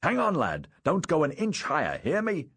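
A man's voice: sits low, very animated, measured pace, slightly rough, heavily acted. A man playing a brash, jovial naval captain and father in an audiobook, barking orders and exclaiming heartily. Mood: fuming, alarmed. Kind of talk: audiobook character acting.

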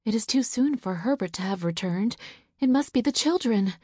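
A woman's voice: on the high side, animated, moderate pace, a little mellow, slightly rough, no echo, studio quality, pitched above the performer's own voice. A woman voicing a panicked mother who pleads as she searches for her missing daughter. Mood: frantic.

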